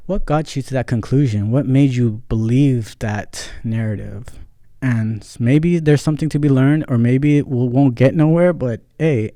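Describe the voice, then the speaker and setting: A young adult man with a mellow, warm voice speaks into a close mic in a dry, dead-sounding space. He talks candidly and off the cuff, mixing English with Central American–accented Spanish, with fillers here and there. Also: medium pitch, no room sound. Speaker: podcast host. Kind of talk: casual solo podcast monologue.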